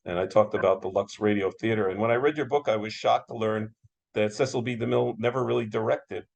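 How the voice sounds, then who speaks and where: A man's voice: lowish voice, steady tone, fast, creaky. An American man moderating an interview in a casual, agreeable, conversational style, often echoing and affirming.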